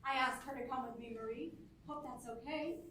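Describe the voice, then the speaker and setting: A woman's voice: high voice, a little fast, fairly bright tone. An actress playing an eager, outspoken chorus girl in a staged reading, chatty and emphatic. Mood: friendly, eager. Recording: very echoey hall, distant mic, noisy.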